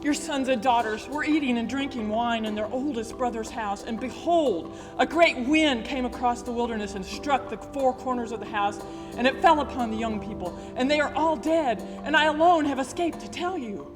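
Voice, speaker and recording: Woman as high-voiced urgent Bible messenger. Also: normal speed, natural voice. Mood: distressed. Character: messenger bringing tragic news of deaths to Job. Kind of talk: church class Bible drama, recited scripture. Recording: reverberant hall, music behind.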